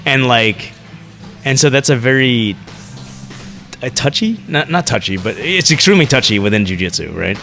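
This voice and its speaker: Gravelly bright-voiced podcast co-host man. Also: medium pitch, expressive, moderate pace, faint music behind. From United States. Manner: curious, asks lots of questions, many fillers. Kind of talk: casual chat.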